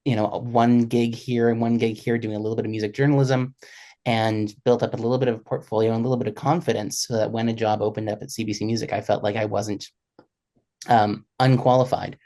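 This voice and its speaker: A man's voice: lowish voice, level tone, a little fast, dark tone. A man talking casually as a panelist about his career as a music programmer for radio.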